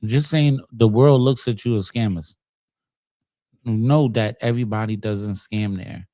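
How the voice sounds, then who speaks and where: A man's voice: on the low side, a little slow, warm tone, dry recording. A man giving casual, emphatic commentary, repeating his points in slangy street talk. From United States.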